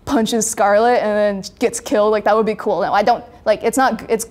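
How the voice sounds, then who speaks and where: A young adult woman in a casual interview, explaining her creative work in an informal, animated way, acting out little quotes as she goes. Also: medium pitch, moderate pace. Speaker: webcomic creator being interviewed. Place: indoor studio set with brick wall.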